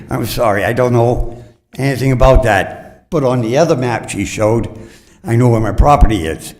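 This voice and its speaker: A man's voice: medium pitch, a little mellow. An older man speaks at a city planning meeting without notes. He is plainspoken and earnest and drifts a little from point to point. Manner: plainspoken and earnest, a bit rambling. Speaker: resident giving public comment. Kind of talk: unscripted public comment. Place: city council chambers.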